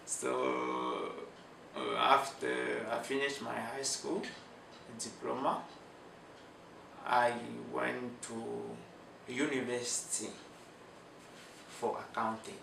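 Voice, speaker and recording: A young man from Cameroon with a Cameroonian French accent, answering interview questions in a slow, halting way with many pauses and restarts. French is his first language. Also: mid-range voice, lively intonation, creaky, bright, forceful tone, echoey room, distant mic, slight background noise, poor audio.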